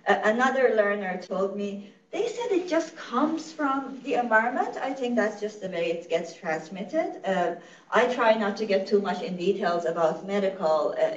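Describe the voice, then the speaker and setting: Woman, Farsi speaker, earnest conversational lecturer, heard from afar in an echoey room, muffled audio. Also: mid-range voice. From Iran. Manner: earnest, explanatory, frequent self-corrections. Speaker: literacy program director giving a presentation. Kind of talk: conversational lecture to medical audience. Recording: echoey, distant, dull-sounding room recording.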